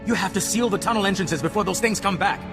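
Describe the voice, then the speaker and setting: A man's voice: high-pitched, fast, vocal fry, music in the background. A man playing an embittered, urgent military captain in a game.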